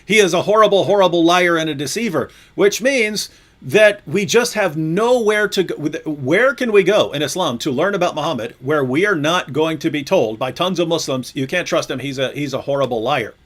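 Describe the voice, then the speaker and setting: A man's voice: a little high, normal speed, a bit bright. A man hosting a discussion, speaking animatedly, mimicking opponents and addressing viewers directly. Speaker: show host. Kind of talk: discussion on a live show.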